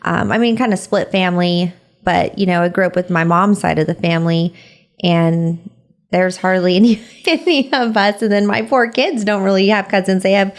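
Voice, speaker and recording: A woman's voice: medium pitch, moderate pace. Upbeat woman hosting a podcast. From California. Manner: upbeat, encouraging, frequent fillers. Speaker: podcast host. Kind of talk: casual interview chat.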